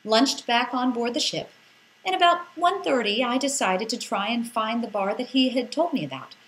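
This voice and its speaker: A woman reading a scripted first-person story as an expressive narrator who acts out the exclamations in it. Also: on the high side, moderate pace, slight background noise, poor audio.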